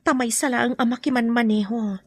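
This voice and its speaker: A woman playing a gentle, burdened mother in an Ilocano radio drama. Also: fairly high pitch, steady tone, fairly quick, slightly rough, dry recording, studio quality. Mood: sorrowful.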